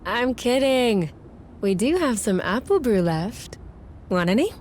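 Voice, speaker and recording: A woman's voice: fairly high pitch, sing-song, measured pace, darkish tone, a little hiss or hum. A woman playing a playful, teasing young woman in casual video game dialogue. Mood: relaxed, playful. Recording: music behind the voice.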